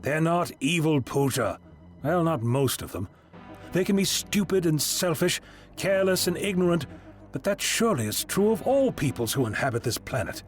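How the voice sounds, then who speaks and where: A man's voice: a little high, big pitch swings, moderate pace, soft background music. A man acting an earnest, kindly time-travelling adventurer in an amateur audio drama. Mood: gentle, earnest.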